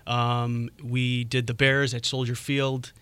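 Guy on the radio as a guest, smooth bright voice, lots of ums, dry studio. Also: medium pitch, flat delivery, takes it slowly, dry recording. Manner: hesitant, lots of "um"s, friendly. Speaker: restaurant creative director, radio guest. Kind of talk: radio interview answers. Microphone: studio microphone.

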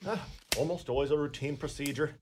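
Male actor as small-town Irish coroner, radio play, somewhat high pitch, pompous, courtly, deliberate phrasing. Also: noisy background, lightly acted. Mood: matter-of-fact, assured.

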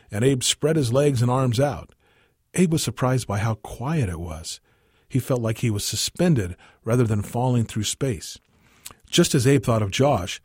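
A man with a husky voice reading a scripted short story as narrator. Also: mid-range voice, darkish tone, no echo, studio quality. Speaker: story reader.

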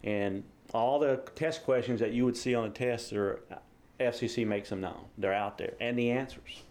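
A man's voice: medium pitch, normal speed, a little mellow. A middle-aged man, explaining things informally as an interviewed radio operator.

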